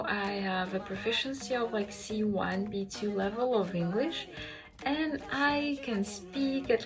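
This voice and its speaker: A young woman chats casually to camera, sharing learning tips with frequent fillers. Her pitch sits in a middle range. Background music plays clearly underneath her voice. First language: Russian. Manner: chatty, many fillers, speaks to viewer directly. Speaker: language-learning vlogger.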